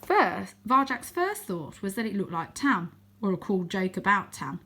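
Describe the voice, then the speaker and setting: A woman narrating a children's book aloud as a storyteller, with expressive delivery. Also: mid-range voice, a little slow. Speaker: storybook reader.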